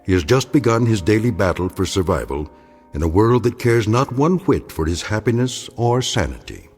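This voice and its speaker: An actor as a grave, wry storyteller, giving measured, portentous narration for an eerie radio drama. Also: male voice, on the low side, big pitch swings, darkish tone. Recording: music behind the narration.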